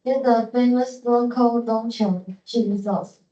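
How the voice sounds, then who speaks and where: A woman student from Taiwan giving a halting class presentation, searching for words. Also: on the high side, fairly even intonation, mellow. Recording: echoey classroom, dull.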